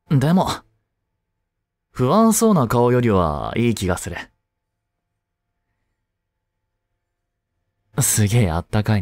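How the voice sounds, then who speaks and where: A man acts out an easygoing, caring teenage boy in a Japanese romantic audio drama. His casual, reassuring talk is slow and unhurried, with widely moving intonation. It sounds close and dry, as if spoken straight to his childhood friend. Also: medium pitch, darkish tone, no room sound. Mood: tender, teasing.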